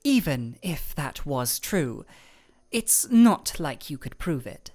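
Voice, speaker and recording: Woman playing a cool ice royal, expressively pitched. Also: heavily acted. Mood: measured, probing. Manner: deadpan, measured, formal, teasing. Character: cool, composed young ice royal with dry wit. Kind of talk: scripted fantasy audio roleplay.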